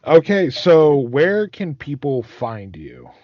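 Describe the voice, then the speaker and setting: A man hosting a casual interview in an informal, halting way. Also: a little high, big pitch swings, no room sound. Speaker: podcast interviewer. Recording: dry but muffled.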